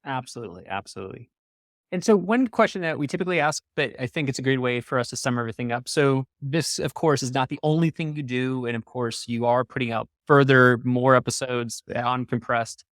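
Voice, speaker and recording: A man's voice: medium pitch, a little fast, a bit bright, dry recording. Male interview host, mid-range voice, upbeat and encouraging, recorded dry. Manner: effusive, encouraging. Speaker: podcast host.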